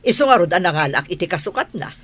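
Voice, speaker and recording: A woman's voice: fairly low pitch, sing-song, quick pace, fairly bright tone. A woman playing a bossy, indignant lady of the house in an Ilocano radio drama, challenging, with an Ilocano accent. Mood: curt.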